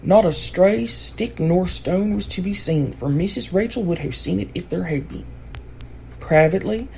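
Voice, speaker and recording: A woman's voice: low voice, dark tone, slight background noise, low-quality recording. A woman reading a classic storybook aloud as scripted audiobook narration. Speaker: audiobook reader.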